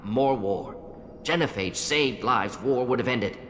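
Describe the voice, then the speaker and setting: A man's voice: mid-range voice, measured pace, fairly bright tone, a little hiss or hum, close to an everyday voice. A man acting as a brilliant, defensive and remorseful alien scientist in a video game, speaking in clipped, analytical fragments that drop small words. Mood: firm, analytical. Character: fast-thinking alien scientist doctor, brilliant, defensive, remorseful male Salarian. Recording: long reverb with music underneath.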